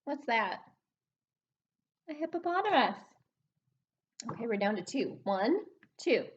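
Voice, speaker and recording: A woman hosting a children's story time, reading aloud in a sing-song, animated way and prompting young listeners with questions. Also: a little high, measured pace, lo-fi sound, a little hiss or hum.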